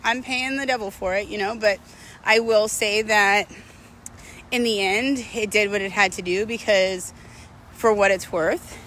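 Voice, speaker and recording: A woman's voice: medium pitch, fairly even intonation, measured pace, fairly bright tone, a little hiss or hum. A woman in her late thirties giving a casual, confessional monologue, reflective and candid, talking through her thoughts in a self-questioning, conversational way. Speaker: vlogger sharing personal relationship advice.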